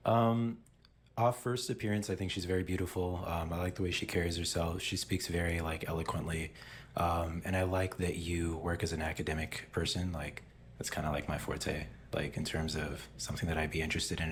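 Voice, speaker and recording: A man's voice: sits low, steady tone, a little fast, a little hiss or hum. A man in his twenties, a dating show contestant, explaining himself hesitantly. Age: 20s.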